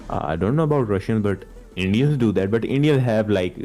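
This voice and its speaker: A man with a fairly low voice talks through a casual live stream, explaining points step by step and leaning on like and basically. English is not his first language. Faint music sits underneath. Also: lively intonation, mellow. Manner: measured, explains with many likes and basically. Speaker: livestream co-host.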